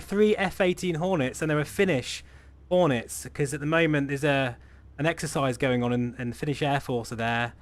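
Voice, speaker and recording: A man's voice: on the high side, a bit bright. Chatty, upbeat man hosting a stream. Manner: chatty, laughs often, self-deprecating. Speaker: stream host. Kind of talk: casual live-stream chat.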